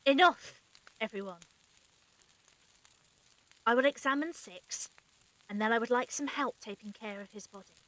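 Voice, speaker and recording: A woman's voice: on the high side, a little slow, long pauses, fairly bright tone, low-quality recording. A woman acting a stern, clinical medic with formal, precise speech in an audio drama. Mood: stern, cold.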